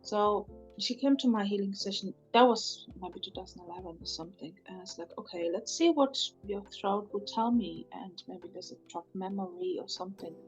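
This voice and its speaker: A woman's voice: medium pitch, breathy edge, muffled recording. A woman guest on a podcast, a spiritual healer telling a personal story in non-native English, rambling and often quoting herself. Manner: rambling, quotes herself with "it's like".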